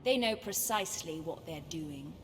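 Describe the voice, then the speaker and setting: An actress playing a cool, self-assured villainess in a game, speaking in a deliberate, taunting way. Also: female voice, low voice, expressive. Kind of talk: sci-fi game villain acting. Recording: long hall reverb under clear music.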